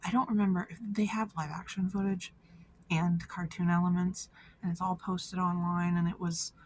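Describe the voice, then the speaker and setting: A woman's voice: fairly low pitch, little pitch movement, moderate pace, a little hiss or hum. A woman giving casual vlog commentary in an informal, slangy way, peppered with "like" and swearing. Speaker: video creator.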